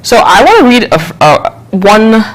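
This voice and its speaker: A woman hosting a TV interview in a conversational way, rephrasing her questions as she goes and offering soft affirmations. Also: on the high side, sing-song, normal speed, poor audio. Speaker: TV interview host.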